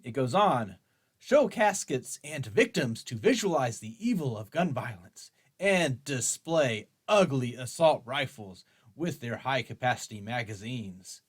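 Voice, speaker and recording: A man's voice: medium pitch, lively intonation, a little slow, slightly rough. A man hosting an opinion show, reading an article aloud and commenting on it in an argumentative, dryly sarcastic way. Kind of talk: article read-along with running commentary.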